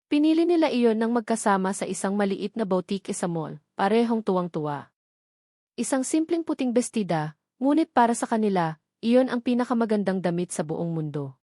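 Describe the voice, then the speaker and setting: A woman's voice: mid-range voice, animated, fairly quick, dark tone, no echo, studio quality. A woman reading a scripted romantic drama as a story narrator. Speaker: narrator of audio love stories.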